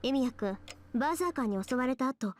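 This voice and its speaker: A woman voicing a sharp-tongued teenage schoolgirl mage in a visual novel, brisk, matter-of-fact and a little scolding. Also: high-pitched, expressive. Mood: impatient, teasing. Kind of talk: Japanese visual novel voice acting.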